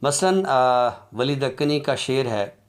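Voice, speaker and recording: A man with an Urdu accent giving a formal academic lecture on literature, speaking in a measured, deliberate way with pauses and drawn-out fillers. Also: mid-range voice, lively intonation.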